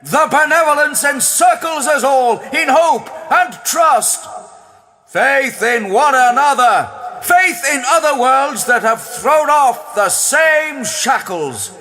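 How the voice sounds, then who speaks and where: A man voicing a pompous middle-aged high priest, delivering grandiose, declamatory oratory to rally the masses in an audio drama. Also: expressive, slow, bright, forceful tone, exaggerated voice. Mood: fervent, triumphant. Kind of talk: shouted, drawn-out oratory.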